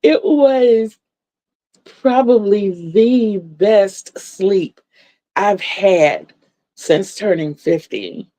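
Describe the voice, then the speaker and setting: A woman's voice: medium pitch, animated, drawn-out words, mellow, no echo. A woman in her sixties chatting casually to viewers from home, laughing often and repeating words. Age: 60s. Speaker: livestream vlogger. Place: home room lit by a floor lamp.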